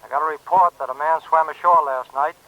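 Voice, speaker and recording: An actor playing an earnest small-town sheriff, reporting in a businesslike way through a telephone effect in a radio drama. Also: male voice, a little high, steady tone, a little fast. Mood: urgent, businesslike. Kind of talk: 1950s radio crime drama acting. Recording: dull lo-fi telephone-style radio sound.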